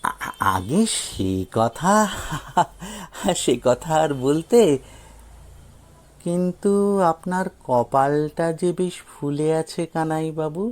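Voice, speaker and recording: A sly small-time village thief, acted out by a male storyteller, pitched well above a normal talking voice. He is fawning and hesitant, rambling through excuses in an audio story. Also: animated, takes it slowly, long pauses, a little mellow.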